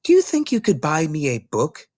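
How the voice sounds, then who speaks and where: Man as a small precocious girl, high lifted voice, courteous, earnest, storybook reading, dry close sound. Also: huge pitch swings, normal speed, darkish tone, no room sound. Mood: polite, curious. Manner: polite, earnest. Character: precocious polite four-year-old girl, eager reader.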